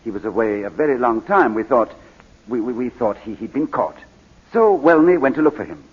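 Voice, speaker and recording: A haunted British war veteran on the witness stand. His husky voice stammers and swings widely in pitch as he grows overwrought. The sound is a dull, lo-fi 1940s radio drama recording. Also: animated, a little fast. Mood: strained, halting.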